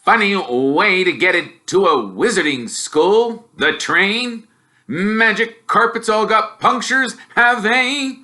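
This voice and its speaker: Male reader as grumpy middle-aged uncle, storybook voice, high-pitched, loud, scornful growl, sharp edge. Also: expressive, slow pace, full character voice. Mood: sneering. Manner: growling, scornful, forceful bark. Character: gruff, sneering, bad-tempered middle-aged uncle. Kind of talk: storybook character voice.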